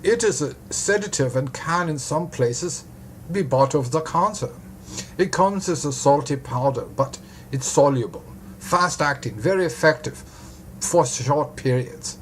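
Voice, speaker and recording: A man voicing a measured, cautious doctor of about sixty with a German accent, explaining precisely in an audiobook story. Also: on the high side, expressive, slight background noise, voice pushed up. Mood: measured, formal.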